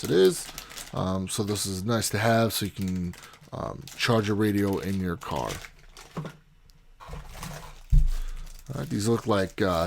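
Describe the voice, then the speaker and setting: A man's voice: on the low side, creaky, a little hiss or hum. A man hosting a casual unboxing video, talking informally and explaining things step by step. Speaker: video host, product unboxer.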